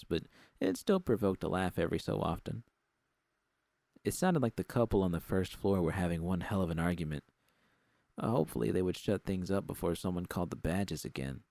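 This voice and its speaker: A man with a gravelly voice reading first-person fiction as an audiobook narrator. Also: low voice, darkish tone, dry recording.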